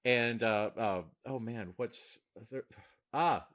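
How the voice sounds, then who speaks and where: Deep-voiced man, stammering podcast chat. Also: slow pace, fairly bright tone. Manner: stammering restarts, laughs easily. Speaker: podcast co-host, diagnostician.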